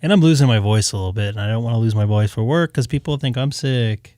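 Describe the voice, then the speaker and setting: A man's voice: mid-range voice, quick pace, darkish tone, no echo. A man hosting a ham radio live stream, talking casually and a bit tired, with lots of fillers. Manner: tired, loose, chatty with many fillers.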